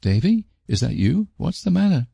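A man voicing a patient, kindly young woman in a storybook, gently reasoning and soothing as if to a child. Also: on the high side, big pitch swings, moderate pace, gravelly, slightly rough, dry recording, voice pushed up, exaggerated voice. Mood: drowsy concern. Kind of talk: storybook character voice.

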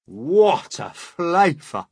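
High-pitched, boastful centipede. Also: male voice, sing-song, very slow, a little mellow, husky, no echo, studio quality, heavily acted. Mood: exuberant. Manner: exuberant, bragging. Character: boisterous, boastful male centipede.

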